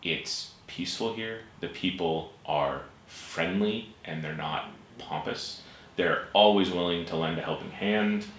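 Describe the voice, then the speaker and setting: A man's voice: deep voice, unhurried, a bit bright. A man, hosting a casual interview and speaking hesitantly, with ums and restarts. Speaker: host. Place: room with bookshelves, at a table. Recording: echoey room with faint hiss.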